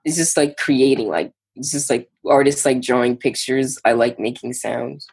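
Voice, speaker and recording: A man's voice: mid-range voice, creaky, a little mellow, breathy edge. Laid-back young New man. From New York. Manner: laid-back, halting, lots of "like". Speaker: musician guest. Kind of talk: casual interview.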